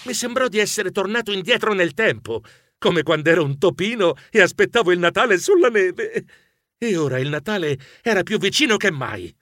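A man voicing an anxious, bashful gentlemanly mouse who narrates his own adventure in a children's audiobook, expressive and fretful. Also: fairly high pitch, a little hiss or hum. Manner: expressive, fretful, easily embarrassed.

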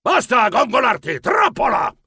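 A man's voice: high-pitched, wildly swooping pitch, normal speed, creaky, husky, no room sound, studio quality, exaggerated voice. Man putting on a blustering, bossy old grandfather mouse. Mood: indignant, shouting. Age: older. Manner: blustering, shouting. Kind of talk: dramatized children's audiobook.

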